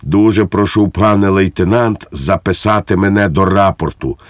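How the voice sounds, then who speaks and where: Man reading as formal, low-pitched volunteer soldier. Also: moderate pace, no echo, clean studio sound, close to an everyday voice. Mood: formal, serious. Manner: formal, serious. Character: serious, cheeky male volunteer soldier, formally polite. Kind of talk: audiobook character acting.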